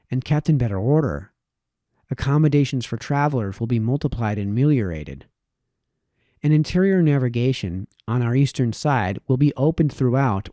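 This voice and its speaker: Male reader, mid-pitched and mellow, measured formal delivery of essay text. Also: no room sound, clean studio sound. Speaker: reader, host of a reading series. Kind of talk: reading a historical political essay aloud.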